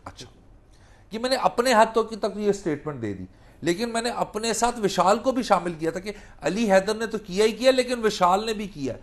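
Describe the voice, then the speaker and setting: A man's voice: high voice, expressive, brisk. A Pakistani man speaking as a law lecturer, explaining animatedly in mixed Urdu and English and repeating key phrases. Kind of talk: spoken lecture mixing Urdu and English legal terms.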